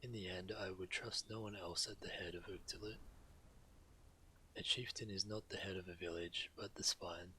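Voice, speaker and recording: A proud walrus-folk chieftain from a fantasy game. The voice is very low and forceful, solemn and resolute in delivery. Faint ambient noise sits behind the words. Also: male voice, steady tone, moderate pace, rough recording. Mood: grave. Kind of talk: video game character dialogue.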